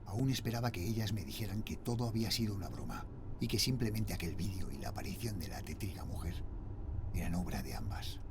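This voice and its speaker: A man playing a frightened father and night guard, telling his horror story in a Spain accent with tense, dramatic delivery and hushed phrase endings. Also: on the low side, background noise. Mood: uneasy, bewildered. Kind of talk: scripted first-person horror narration, audio drama.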